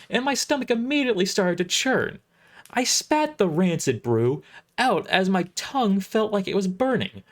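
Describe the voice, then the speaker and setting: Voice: medium pitch, natural voice. A performer voicing an irritable, imperious military starship admiral, narrating an audio drama in formal, wordy first person with stumbles over the script.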